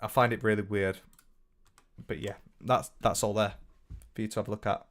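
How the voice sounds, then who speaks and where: Young northern English male streamer, lowish voice, loose rambling chat with restarts. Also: little pitch movement, normal speed. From north of England. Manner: rambling, frequent restarts, swears casually. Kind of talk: casual livestream chat reading comments.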